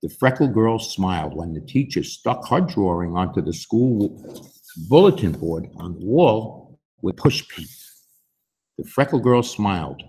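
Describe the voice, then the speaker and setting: A man in his sixties with a husky voice, reading a picture book aloud to young children in an expressive, unhurried way. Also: fairly low pitch, low-quality recording. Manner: expressive, unhurried, with emphatic swings. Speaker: story time host reading aloud. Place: school library, indoors.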